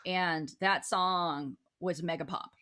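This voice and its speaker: A woman's voice: fairly low pitch, steady tone, slow pace, pushed and bright. A woman co-hosting a casual music talk show, chatting in a jokey, self-deprecating way. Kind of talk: casual music and fan chat.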